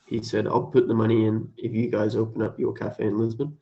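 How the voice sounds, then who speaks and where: A man speaking in a casual, low-key way as an interview guest, on dull lo-fi audio. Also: completely flat delivery, a little fast, gravelly. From Australia. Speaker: interview guest, cafe owner. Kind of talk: casual, low-key chat and plain reading aloud.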